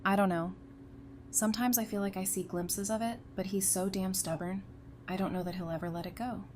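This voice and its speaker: A woman's voice: medium pitch, fairly even intonation, a little fast, noisy background, close to an everyday voice. A woman playing a frank, devoted survivor on a community council in an audio drama. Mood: resigned.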